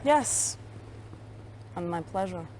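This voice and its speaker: An actress playing a cool, ruthless Soviet intelligence chief in a video game cutscene, composed and controlled. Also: female voice, on the low side, lively intonation, slow, darkish tone, slight background noise. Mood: cold, threatening.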